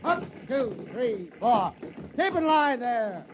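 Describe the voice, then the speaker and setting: High, disgusted military drill leader. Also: male voice, sing-song, takes it slowly, full character voice. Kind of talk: 1950s radio drama acting. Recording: dull vintage radio recording.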